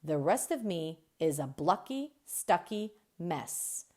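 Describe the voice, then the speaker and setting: A woman reading a children's book aloud as a storyteller, expressive and deliberate, drawing out key words. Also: lowish voice. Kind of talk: expressive read-aloud narration.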